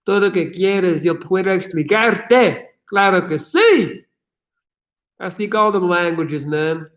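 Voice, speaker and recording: A man hosting a casual livestream Q&A, answering viewer questions in an energetic, profane way with many fillers. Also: sits high, unhurried.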